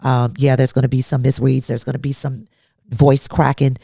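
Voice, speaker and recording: A woman's voice: rumbling low, little pitch movement, moderate pace, vocal fry, dark tone, dry recording, studio quality. A woman hosting a solo talk podcast, emphatic and blunt, asking rhetorical questions, a little congested. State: congested from allergies. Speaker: podcast host.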